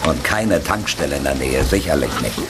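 An actor playing a grumpy, sarcastic reporter who grumbles and swears in a German radio play. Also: male voice, extremely low pitch, expressive, fairly quick, heavy background noise. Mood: sarcastic, grumbling. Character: grumpy, sarcastic male newspaper reporter, tired and bantering.

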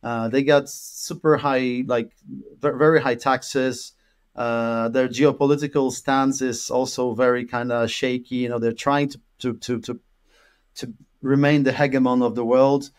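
A man's voice: medium pitch, steady tone, measured pace, clean tone. A man in his thirties, from Poland, talking as a guest in an interview over a video call from a room at home. He rambles, with lots of fillers and repeated words. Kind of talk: casual interview conversation. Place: room at home with an orange wall.

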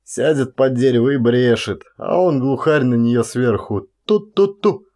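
A man's voice: medium pitch, expressive, measured pace, a little mellow, natural voice. A man voicing a staid, hospitable rustic forest warden with folksy, sedate speech in an audiobook. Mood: folksy, pleased.

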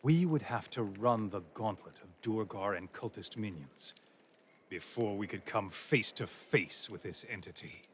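An actor playing a grave adventurer narrating a quest in a fantasy video game, as a scripted storyteller. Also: male voice, lowish voice, measured pace, slight background noise, close to an everyday voice. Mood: grave, measured.

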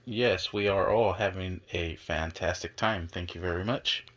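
A man with a very low voice talks through a product in a casual, laid-back unboxing style. He sounds plain-spoken, and it is recorded handheld at home with clear background noise. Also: unhurried. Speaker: product reviewer and dad. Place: bedroom, indoors.

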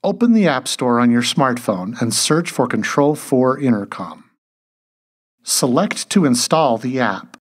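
A man delivers scripted how-to narration in a mid-pitched, husky voice. His intonation moves up and down in a lively way as he takes the listener through instructions in measured steps. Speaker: tutorial narrator.

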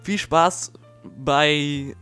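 A man's voice: on the high side, very slow, pushed and bright, smooth. A young man hosting a casual, flippant video commentary. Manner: flippant, jokey. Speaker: YouTube host. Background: background music with guitar.

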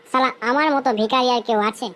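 Lady doing a cheeky little cartoon schoolboy, really high voice, whiny and sweary. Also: fairly even intonation, fast talker, fairly bright tone. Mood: bitter. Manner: whining, cursing, colloquial rustic speech. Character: whiny cheeky mischievous schoolboy who craves a smoke. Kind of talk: comic cartoon dialogue.

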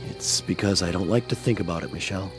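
A man's voice: sits low, fast talker, faint music behind, close to an everyday voice. An actor playing an anxious, devout father of young children in a family audio drama. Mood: uneasy, reluctant.

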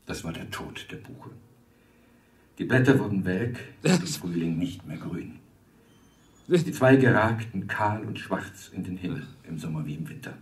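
An actor playing an earnest orator in a radio drama, speaking in a deliberate, rhetorical and emphatic way with a husky voice. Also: male voice, low-pitched, lively intonation, exaggerated voice. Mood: sombre, nostalgic. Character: earnest male orator warning radio listeners about trees and air. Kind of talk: radio drama monologue, solemn public address.

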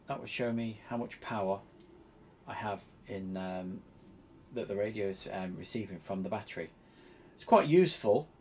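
A man giving an informal hands-on tutorial, explaining step by step in a halting, hesitant way. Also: lowish voice, a little mellow, slight background noise, low-quality recording. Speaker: presenter demonstrating radio equipment.

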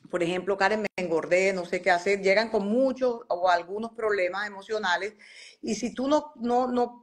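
A woman's voice: medium pitch, a bit bright. A woman, talking as a guest on a live video call and animatedly acting out quoted dialogue as she tells stories. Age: 40s. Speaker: guest doctor and skincare entrepreneur. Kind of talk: casual live interview. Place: home room by a bright window. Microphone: phone on video call.

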